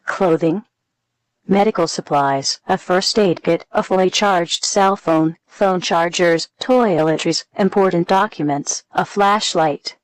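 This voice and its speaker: A woman reading a scripted emergency broadcast announcement in a formal, measured, impersonal manner. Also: lowish voice, rough recording.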